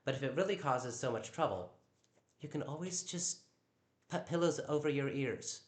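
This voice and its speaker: A man with a naturally loud voice talking on a live stream at home, defensive and stammering over repeated words. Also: mid-range voice, lively intonation, normal speed. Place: at home in a downstairs room. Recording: echoey room.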